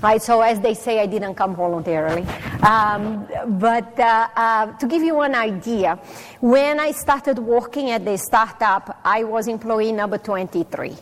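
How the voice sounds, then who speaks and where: A woman's voice: on the high side, unhurried. A middle-aged woman from Brazil with a Brazilian Portuguese accent, a native Portuguese speaker, giving a chatty, self-deprecating conference talk with many fillers. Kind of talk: personal talk to a live audience. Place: conference hall. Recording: long reverb of a hall.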